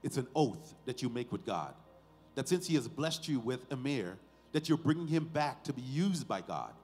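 A man preaching as a pastor at a ceremony, speaking in deliberate short phrases with emphatic stresses and rhetorical questions. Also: mid-range voice, big pitch swings, darkish tone, husky. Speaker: pastor leading a baby dedication. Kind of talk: sermon, ceremonial address to family and congregation. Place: large church sanctuary, indoors. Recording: hall reverb, faint music behind.

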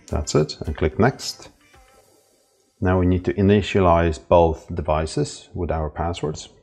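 A man's voice: sits low, measured pace, gravelly, a little mellow, soft background music. A man from Ireland casually walking through an intercom setup step by step, with a few fillers. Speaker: installer demonstrating setup. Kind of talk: casual tutorial narration.

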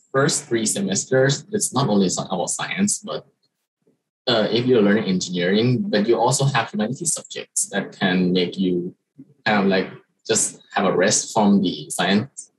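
A young man from Thailand, a Thai native speaker, giving a slide presentation as an international student on a video call, halting with many fillers and restarts. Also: lowish voice, slightly rough, rough recording. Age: young adult. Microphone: video call webcam.

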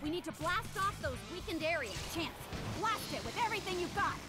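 High-voiced, bossy wisecracking ghost hunter. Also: female voice, animated, a little fast, over loud music. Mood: commanding. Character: bossy, wisecracking woman ghost hunter leading her team. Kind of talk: video game cutscene acting.

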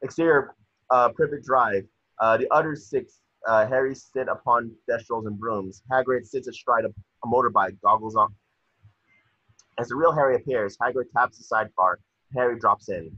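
A man with a husky voice reading out stage directions as scripted narration at a table read on a video call. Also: mid-range voice, normal speed, pushed and bright, dry recording. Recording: muffled, dry video call audio.

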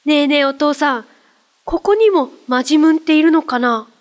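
A woman's voice: sits high, animated, normal speed. A woman voicing a cheerful, curious young boy with an eager, childlike manner in a radio drama.

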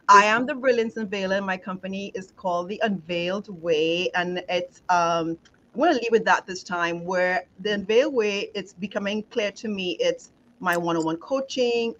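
A woman's voice: mid-range voice, fairly bright tone, slight background noise. A middle-aged woman from Trinidad with a Trinidadian accent, a leadership coach talking reflectively on a video call, restarting as she finds her words. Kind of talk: casual podcast conversation. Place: home office with pictures on wall. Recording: slightly dull video call audio.

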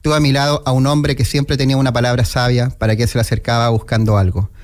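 Low-key Chilean man paying solemn radio tribute. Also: little pitch movement, fast talker. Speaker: radio show host. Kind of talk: solemn tribute monologue, partly read aloud. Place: small indoor radio studio. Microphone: close broadcast microphone with headphones. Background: background music.

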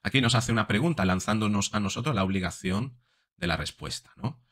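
Man, forties, bright forceful voice, explanatory commentary, frequent tag questions, close desk mic. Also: lowish voice, a little fast. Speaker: psychologist, video commentator. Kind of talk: analytical commentary on body language. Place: small room indoors. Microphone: desk microphone close in front.

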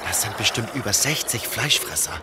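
An actor playing a steady, decisive leader of zombie-apocalypse survivors, urgent and commanding, in a German audio drama. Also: male voice, fairly low pitch, brisk, very noisy, close to an everyday voice. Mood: tense, resolute. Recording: dramatic music under the voice.

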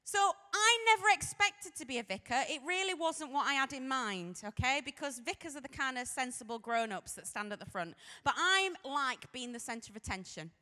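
A woman's voice: high voice, normal speed, hardly any pauses. Chatty Sheffield woman vicar, echoey hall. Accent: Sheffield English. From Sheffield, England. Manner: chatty, self-mocking, animated storyteller. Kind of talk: humorous personal testimony to a youth audience. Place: large hall stage. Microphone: handheld microphone.

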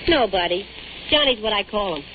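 A woman's voice: medium pitch, animated, a little fast, natural voice. An actress playing a breezy, slangy, boy-crazy working-girl best friend in 1940s radio comedy. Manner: slangy, wisecracking. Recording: tinny old radio recording with hiss.

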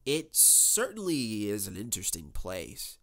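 An old storybook alley cat, acted out by a man, worldly but worn out. The words come very slowly and haltingly, with courteous hesitation, at a fairly low pitch. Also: wildly swooping pitch, a bit bright, exaggerated voice. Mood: wistful, reminiscing. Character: old female alley cat, polite worn-out former traveler.

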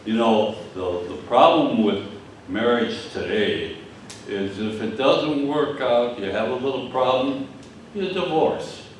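An older man with a Michigan accent preaching a sermon at a lectern, speaking haltingly with many fillers and repeated words. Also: medium pitch, slight background noise. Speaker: pastor. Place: church hall with stage and screen. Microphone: lectern mic from a distance. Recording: echoey hall, distant mic.

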